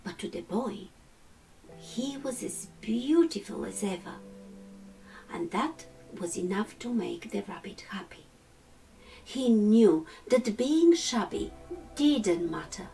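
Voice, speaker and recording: Woman, children's story narration, measured, creaky, slightly distant mic, hushed endings. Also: medium pitch, expressive, soft background music. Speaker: storytime reader.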